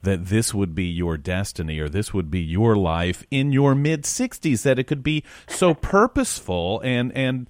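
A man hosting a talk show, asking halting questions of a guest and then reading presenter links. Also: lowish voice, animated, dry recording, studio quality. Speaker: radio show host. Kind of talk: interviewing and presenting.

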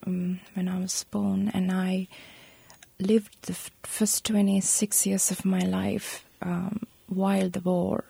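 A Sri woman in her thirties, a guest telling a personal account in an interview, speaking softly and haltingly, with many pauses. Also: mid-range voice, flat delivery, no room sound. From Sri Lanka. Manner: soft, halting, breathy delivery with many pauses.

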